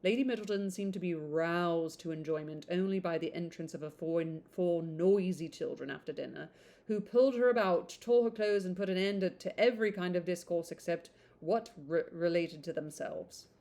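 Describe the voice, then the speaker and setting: A woman reading a classic novel aloud in an informal, expressive way, stumbling over some words and adding chatty asides. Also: lowish voice, normal speed.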